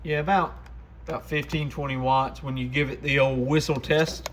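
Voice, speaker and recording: A man's voice: medium pitch, fairly even intonation, moderate pace, heavy background noise. A man chatting in an informal, folksy way as he gives a casual product demonstration like a shop presenter.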